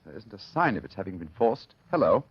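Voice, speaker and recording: An actor playing an arrogant, sharp-eyed Victorian gentleman detective in a radio mystery, dry, pompous and precise in his delivery. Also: lowish voice, sing-song, fast talker, husky, full character voice. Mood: keen, self-assured. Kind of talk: vintage radio mystery acting. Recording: dull, lo-fi old radio recording.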